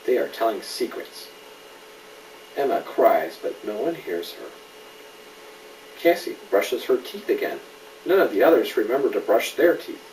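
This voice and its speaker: Middle-aged man, animated storybook reader chatting to a child; roomy, slightly noisy home recording. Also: medium pitch, measured pace, long pauses, recorded from a distance. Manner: animated, checks in with questions. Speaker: father reading a storybook aloud to a child. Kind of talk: bedtime story reading with asides to a child.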